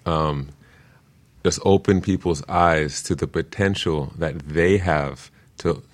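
A man speaking as an expert guest in an interview, explaining things in an earnest, deliberate way. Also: a bass voice. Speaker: guest expert, permaculture teacher.

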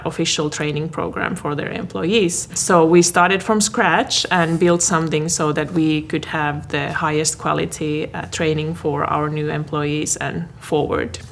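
A woman's voice: low-pitched, creaky, a little hiss or hum. A woman from Finland, a guest in a podcast interview, speaking thoughtfully and repeating words as she searches for her phrasing. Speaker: learning and development manager, guest.

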